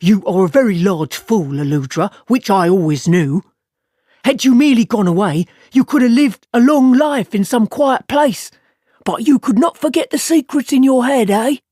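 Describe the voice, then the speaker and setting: A woman's voice: a little high, lively intonation, normal speed, dark tone, breathy edge, no room sound, studio quality, voice pushed up. A woman doing a gloating knife-wielding thug. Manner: mocking, gloating. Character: menacing big guildsman with a knife, male, accented.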